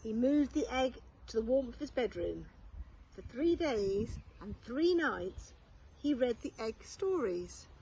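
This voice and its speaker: A woman with a fairly high voice reads a bedtime story for young children. Her delivery is expressive and very unhurried, with deliberate pauses between phrases. There is some light noise in the amateur recording. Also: poor audio. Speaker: primary school teacher reading a bedtime story.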